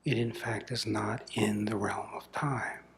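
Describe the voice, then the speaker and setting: Very slow, soft-spoken man guiding meditation. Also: on the low side, steady tone, vocal fry, a little hiss or hum. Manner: slow, deliberate, measured phrases with long pauses. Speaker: spiritual teacher. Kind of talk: guided meditation, contemplative satsang talk.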